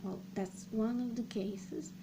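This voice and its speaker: Slow, halting young woman vlogger. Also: a little high, steady tone. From Serbia. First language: Slovak and Serbian. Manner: slow, halting, thinking aloud with many pauses. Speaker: language channel host. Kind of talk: casual personal vlog talk. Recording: clear background noise.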